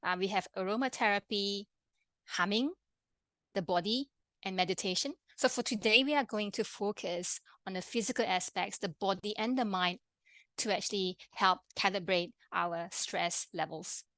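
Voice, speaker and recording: A woman with a Malaysian accent, a pharmacist and health coach, presenting an online workshop in a friendly, quick way with many fillers and restarts. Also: medium pitch, pushed and bright, no echo. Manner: fast-talking, friendly, with frequent fillers and restarts.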